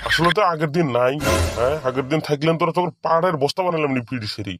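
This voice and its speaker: A man's voice: on the high side, racing, over music, lightly acted. A man playing a stern, exasperated village schoolmaster in a comedy cartoon, with a scolding, hectoring manner. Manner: scolding, hectoring, mixing English words into Bengali.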